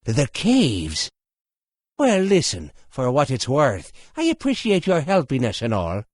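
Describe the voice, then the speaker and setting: Sheepish game townsman: very swoopy pitch, slow halting delivery, well-meaning and unsure. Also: huge pitch swings, dry recording. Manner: hesitant, halting. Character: awkward, hesitant, well-meaning fantasy townsman. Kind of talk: video game voice acting.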